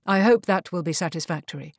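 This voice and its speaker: A man's voice: high-pitched, quick pace, creaky, no echo. A woman voicing a small, elderly male butler, polite, composed and deferential, in an audiobook.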